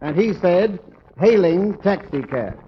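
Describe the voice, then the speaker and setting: A dull, noisy vintage radio recording carries a corny comic working through old jokes. He is mannered and formal, with a high male voice that moves around a lot. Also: animated, takes it slowly. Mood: corny, self-assured. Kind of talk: 1940s radio comedy monologue.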